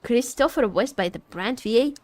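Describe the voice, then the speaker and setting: A woman's voice: on the high side, lively intonation, moderate pace, darkish tone, no room sound. A woman streaming as a VTuber, chatting casually about a game in a teasing, joking, giggly way. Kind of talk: casual livestream chat reacting to a game.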